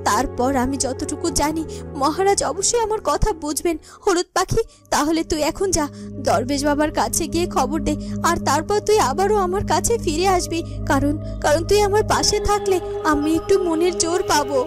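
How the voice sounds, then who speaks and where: Woman playing a high-voiced sorrowful young heroine. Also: moderate pace. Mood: resolved. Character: sorrowful young heroine, earnest, troubled, devoted wife. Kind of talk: melodramatic children's cartoon dialogue. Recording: music under the voice.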